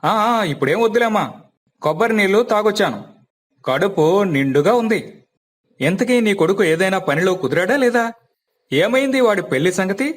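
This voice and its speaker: నటుడు పోషించిన ధైర్యం చెప్పే పల్లె పెద్దమనిషి, హెచ్చు గొంతు. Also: male voice, high-pitched, animated, moderate pace, pauses often. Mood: friendly. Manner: reassuring, rustic colloquial advice. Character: practical, helpful elder village family friend. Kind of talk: dramatized moral story.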